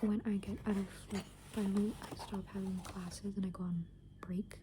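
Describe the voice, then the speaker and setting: A woman in her twenties whispering casual, meandering ASMR thoughts in a hushed, unvoiced voice. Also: mid-range voice, level tone, normal speed. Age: 20s. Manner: casual, meandering, thinking aloud. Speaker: ASMR creator. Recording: clearly noisy background hiss and ambience.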